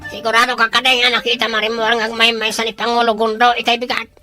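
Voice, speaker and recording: An actor playing an obedient henchman who leads ambushers, deferential and brisk, in radio-style drama. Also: very high-pitched, completely flat delivery. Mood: conspiring. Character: obedient male henchman, dutiful leader of ambushers. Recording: music under the voice.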